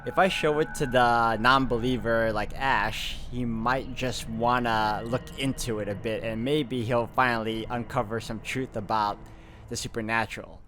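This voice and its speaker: A man's voice: mid-range voice, fairly even intonation, moderate pace, bright, forceful tone, a little hiss or hum. A man hosting a game walkthrough, reading visual novel narration aloud and commenting casually. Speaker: video game walkthrough host. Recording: music behind the voice. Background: game music.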